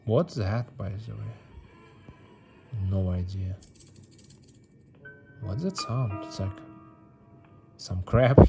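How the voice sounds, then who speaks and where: A man's voice: mid-range voice, gravelly. A man giving casual gameplay commentary, playful and repetitive, with non-native English phrasing. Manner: repeats words and phrases, playful. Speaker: mobile game streamer. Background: game music.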